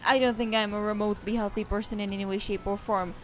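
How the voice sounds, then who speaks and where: Woman streaming a game, rambly chatty asides, mid pitch, faint game music behind. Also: little pitch movement, fast. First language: Czech. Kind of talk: casual let's-play commentary.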